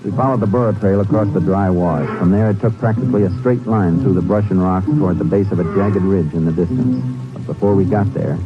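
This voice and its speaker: An actor playing a steady, observant Texas Ranger, terse and matter-of-fact, in an old-time radio western. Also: male voice, low voice, a little fast, lightly acted. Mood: grave narration. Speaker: lead lawman who also narrates. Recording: muffled old radio broadcast, loud music.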